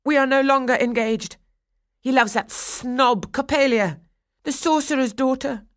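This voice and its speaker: A woman's voice: medium pitch, steady tone, a little slow, warm tone, breathy edge, no room sound, studio quality, pitched above the performer's own voice. A woman voicing a jealous, heartbroken young bride-to-be in a storybook tale, wounded and dramatic. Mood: bitter.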